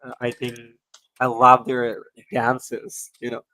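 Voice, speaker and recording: Halting man hosting a live stream. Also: mid-range voice, monotone, sharp tone, no room sound, low-quality recording. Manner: halting, repeats words, non-native grammar. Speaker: host. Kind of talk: casual live-stream chat.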